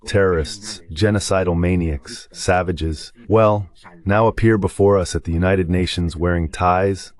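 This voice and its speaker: A man's voice: deep voice, darkish tone. A man voicing a political guest's commentary in translation.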